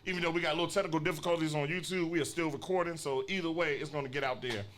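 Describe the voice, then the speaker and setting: A man in his forties hosts a casual live podcast in front of an audience. His voice is bright and a bit high for a man. He jokes and keeps things upbeat. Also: very fast. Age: 40s. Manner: upbeat, joking, thanks everyone. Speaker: podcast host. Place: brewery taproom with audience.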